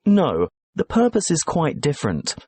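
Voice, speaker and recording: A man playing a professor who briskly explains a task to his students in a scripted exam dialogue. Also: a little high, wildly swooping pitch, a little mellow, husky, no echo, pitched above the performer's own voice, exaggerated voice. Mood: explaining. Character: male professor guiding students, calm and brisk.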